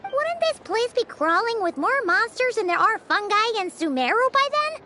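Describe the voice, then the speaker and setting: Tiny fairy sidekick from a game, super squeaky, whiny and dramatic, bounces all over. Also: female voice, sing-song, normal speed, noisy background. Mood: doubtful, curious. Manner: exclamatory, whiny, theatrical. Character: small excitable girlish fairy companion, chatty, easily grossed out. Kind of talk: video game voice acting.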